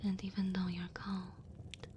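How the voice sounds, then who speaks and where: A woman's voice: mid-range voice, close to an everyday voice. A woman voicing a lonely, caring dragon in an intimate, tender ASMR roleplay. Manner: slow, tender, breathy murmuring. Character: dominant but caring, lonely female dragon. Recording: steady ambient fire and cave noise. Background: crackling fire ambience.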